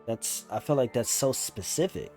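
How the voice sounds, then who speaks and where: Young male gaming streamer, mellow dark tone, medium pitch, slangy, musing aloud, game music behind. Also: expressive, moderate pace. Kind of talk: casual let's play commentary.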